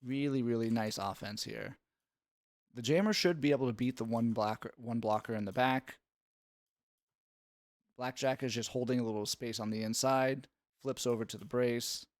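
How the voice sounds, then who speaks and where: A man's voice: medium pitch, moderate pace, dry recording. Guy doing sports breakdown commentary, explains the plays like a coach, dry close recording no room sound. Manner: instructive, explanatory. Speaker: sports analyst. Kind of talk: analytical play-by-play commentary.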